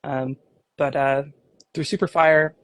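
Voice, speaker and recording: Slightly raspy woman with a cold. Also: deep voice, steady tone, slow pace, no echo. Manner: casual, many fillers like um and like. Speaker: cannabis grower and consultant. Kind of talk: livestream interview.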